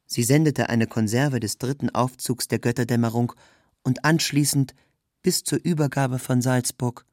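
A man reads a scripted literary narration in a measured, formal manner. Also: mid-range voice, fairly even intonation, a little mellow, no room sound, studio quality. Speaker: narrator, reader.

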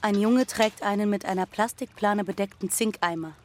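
A woman's voice: mid-range voice, moderate pace, a little hiss or hum, lightly acted. A woman playing a defiant teenage runaway girl who narrates her journey matter-of-factly in an audio drama. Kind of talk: first-person literary narration in audio drama.

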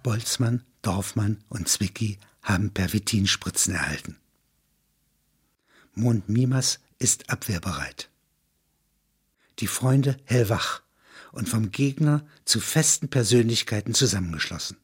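An older man with a husky voice, speaking reflectively with frequent questioning tags. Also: fairly low pitch, expressive, measured pace. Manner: discursive, punctuated with questioning tags. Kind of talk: reading prose and reflective conversation.

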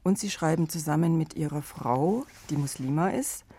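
A woman moderating a radio discussion, asking calm, measured questions in a studio. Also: sits low, husky. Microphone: studio microphone. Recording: dry studio sound.

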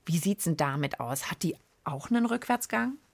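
A woman's voice: lowish voice, animated, normal speed, vocal fry, dry recording. A woman co-hosting a children's science podcast in casual, chatty talk. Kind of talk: lively scripted-casual chat.

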